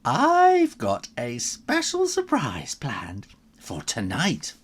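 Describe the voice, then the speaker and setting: A man's voice: medium pitch, huge pitch swings, slow pace, husky, voice pushed up, full character voice. A man voicing a giddy, gleeful, loopy aunt in a gushing, theatrical way for a storybook. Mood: giddy excitement.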